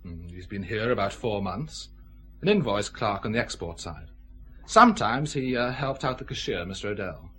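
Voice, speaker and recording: An actor playing a genial, proud boss of a small firm, chatty and expansive in an audio drama. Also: male voice, fairly low pitch, lively intonation, moderate pace, fairly bright tone, faint music behind. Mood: thoughtful. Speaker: actor in a radio detective drama.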